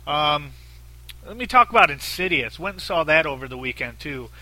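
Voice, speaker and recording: Nasal, profane podcast host, bright tone. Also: male voice, a little high, lively intonation, normal speed, low-quality recording. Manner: blunt and profane, rambling with fillers. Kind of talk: casual solo podcast talk.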